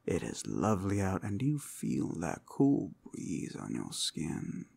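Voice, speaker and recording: A man playing a flirtatious, teasing werewolf in an intimate ASMR roleplay, with a husky, gravelly voice that often drops toward a whisper and a playful, seductive drawl. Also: sits low, wildly swooping pitch, darkish tone, full character voice. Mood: playful, reassuring. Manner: slow, playful, seductive drawl with pet names. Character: flirtatious, teasing male werewolf companion in a fantasy world. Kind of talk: ASMR roleplay, intimate scripted acting to the listener.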